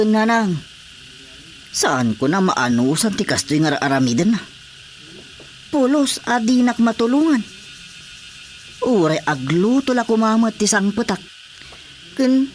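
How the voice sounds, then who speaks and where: A man's voice: high voice, animated, a little slow, pauses often. An exasperated farmer husband in a radio drama. He is insistent and full of grievance about married life. Faint noise and chirping insects sit behind the voice. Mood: fed up. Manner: complaining, insistent. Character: aggrieved farming husband fed up with his wife.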